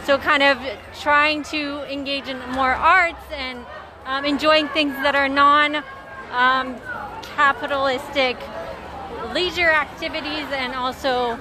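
High-voiced woman at a noisy street protest. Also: fairly even intonation, slow. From immigrant recently moved to Canada. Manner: thoughtful, hesitant, many fillers. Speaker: interviewee at a climate strike. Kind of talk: street interview. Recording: outdoor crowd noise.

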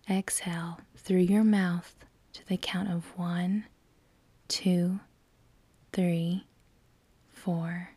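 A woman with a soft, breathy, near-whispered voice leads a guided meditation, calm and soothing, with long measured pauses. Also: on the low side, no echo.

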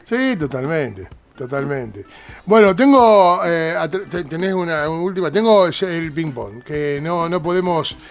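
A man with a high-pitched voice and an Argentine accent co-hosts a radio show. He talks casually and jokingly. Soft music sits behind his voice. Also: animated, a little slow. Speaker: radio co-host.

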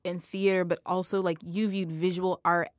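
A woman's voice: fairly low pitch, level tone, normal speed, no room sound. Chatty podcast host woman, dry close sound. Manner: chatty, enthusiastic, many restarts. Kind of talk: casual interview chat.

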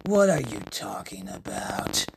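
Woman acting a low, muttering, reluctant guide. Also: big pitch swings, a little slow, gravelly, a little mellow, slight background noise, exaggerated voice. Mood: exasperated. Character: dry, reluctant, easily annoyed female town guide.

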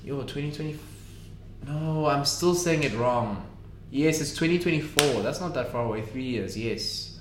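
A man in his early twenties co-hosting a casual podcast chat, teasing and laid-back, thinking out loud. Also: mid-range voice, animated, moderate pace. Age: early 20s. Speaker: podcast co-host. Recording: echoey room, faint noise.

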